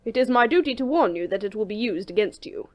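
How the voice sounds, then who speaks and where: A woman's voice: mid-range voice, animated, fast talker, lightly acted. A woman playing a dutiful, courteous police inspector in a dramatic audiobook reading, formal and earnest. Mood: firm, official.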